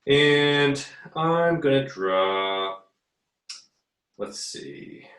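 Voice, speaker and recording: A man's voice: mid-range voice, animated, very slow, a bit bright. A man teaching a casual drawing tutorial as an art teacher, easygoing and hesitant, thinking aloud as he works. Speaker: art teacher giving an assignment demo. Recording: roomy, echoey, distant mic.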